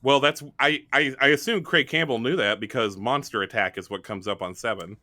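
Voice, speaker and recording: A man's voice: mid-range voice, brisk, pushed and bright, no echo. A man chatting casually as he explains rules and plays in a tabletop roleplaying game.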